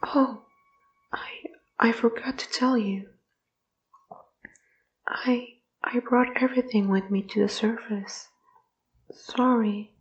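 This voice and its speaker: Woman playing a slow, timid little ghost. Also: fairly high pitch, fairly even intonation, muffled recording. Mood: apologetic. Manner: timid, halting, breathy trailing off. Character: shy, apologetic, gloomy little ghost in audio drama.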